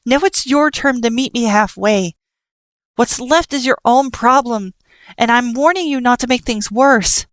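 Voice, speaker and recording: A woman voicing a patient, sorrowful child who sees everything and speaks softly and earnestly. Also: mid-range voice, fairly even intonation, moderate pace, no echo, clean studio sound, lightly acted. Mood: urgent. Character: calm all-seeing child, sorrowful and patient.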